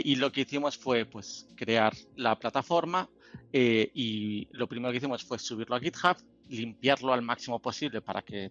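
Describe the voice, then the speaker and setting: A man's voice: mid-range voice, fairly bright tone, muffled recording. A man with a Spanish accent from Spain, a company founder telling his story in a rambling, talkative way with lots of fillers. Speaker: guest, software company founder. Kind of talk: casual interview talk.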